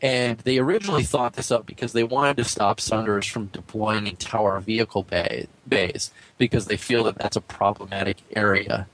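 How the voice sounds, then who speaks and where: A man's voice: on the low side, fairly even intonation, moderate pace, hardly any pauses, a bit bright, dry recording, low-quality recording. A man co-hosting a gaming podcast, running through news items in a casual, conversational way. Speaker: podcast co-host. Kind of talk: casual news rundown and discussion.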